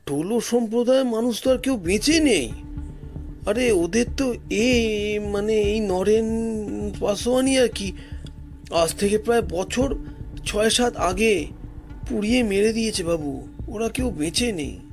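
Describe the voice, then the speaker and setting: A man's voice: high voice, animated, measured pace, long pauses, soft background music, pitched above the performer's own voice. A man voicing a frightened, apologetic village gatekeeper in an audio story, deferential and pleading, repeating himself. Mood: disbelieving, insistent.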